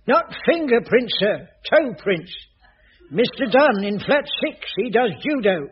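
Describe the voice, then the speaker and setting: An actor playing an indignant, evasive older caretaker with a colloquial, protesting manner in a radio sitcom. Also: male voice, very high-pitched, sing-song, unhurried, husky, heavily acted. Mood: flustered, deferential. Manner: colloquial, protesting, comically malapropping. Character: jobsworth, put-upon old caretaker, indignant and evasive. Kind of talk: 1980s radio sitcom acting. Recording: dull, noisy old radio recording with clicks.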